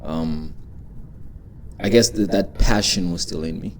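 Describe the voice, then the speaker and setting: A man's voice: sits low, a little slow, slight background noise. A man in his twenties from Congo, a guest in a casual interview, talking loosely with many fillers and restarts. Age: 20s.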